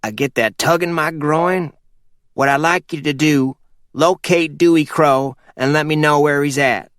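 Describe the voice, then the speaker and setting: Man doing older uncle, high voice, commanding, scolding, dry. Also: a little slow, a bit bright, smooth, no echo. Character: older Kentucky man, shrewd and bossy uncle.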